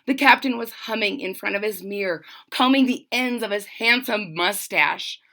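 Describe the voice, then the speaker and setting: A woman's voice: medium pitch, moderate pace, pushed and bright. A woman reading a children's story aloud expressively and dramatically, stopping to retell it and address the listeners. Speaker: storybook reader.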